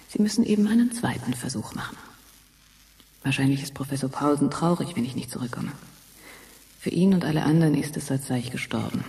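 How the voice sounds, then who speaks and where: Radio play: dreamy young female, dark muted tone, hushed inner monologue, heavily reverberant space. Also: rumbling low, exaggerated voice. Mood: resigned, musing. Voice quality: breathy, husky. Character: dreamy young woman, test subject of a time-jump experiment. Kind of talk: German science-fiction radio drama monologue. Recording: long reverberant hall echo.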